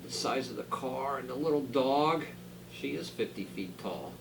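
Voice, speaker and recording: A man reading a children's storybook aloud dramatically, dropping into quieter asides to his listeners. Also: medium pitch, animated, normal speed, gravelly, background noise. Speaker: school superintendent reading a picture book aloud.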